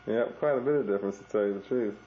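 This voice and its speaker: A man's voice: on the low side, very fast, slight background noise. A young man narrating a home video as he films his pregnant wife, chatty and easygoing. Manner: chatty, narrates while filming. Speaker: father filming home video. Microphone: camcorder mic. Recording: dull lo-fi VHS tape.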